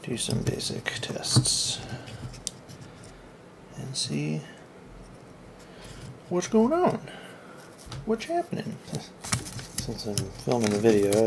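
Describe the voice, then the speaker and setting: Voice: medium pitch, vocal fry. A host giving casual, off-the-cuff repair commentary, rambling and muttering while thinking aloud on a noisy recording. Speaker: video host repairing electronics.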